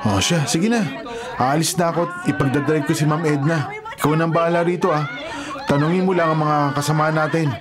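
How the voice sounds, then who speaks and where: An actor playing a protective, teasing cousin who works as a driver in a Filipino radio drama, brotherly and matter-of-fact. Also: male voice, a little high, steady tone, brisk, a crowd in the background, lightly acted. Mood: apologetic, brisk. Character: protective, teasing male cousin, family driver showing newcomer around. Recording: loud music bed behind dialogue.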